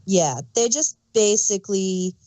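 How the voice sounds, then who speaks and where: A woman with a mid-range voice talks slowly in a dry, echo-free recording. She co-hosts a podcast, casually explaining details and correcting herself as she goes. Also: medium pitch, dark tone. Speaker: podcast co-host reviewing audio dramas.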